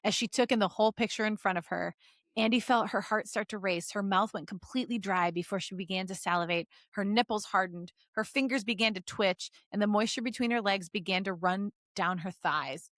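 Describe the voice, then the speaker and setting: Podcast host lady reading fanfic out loud, playful, jokey asides, medium pitch, super dry sound. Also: a little fast, no echo. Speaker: podcast host reading fan fiction aloud. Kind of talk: story reading mixed with joking banter.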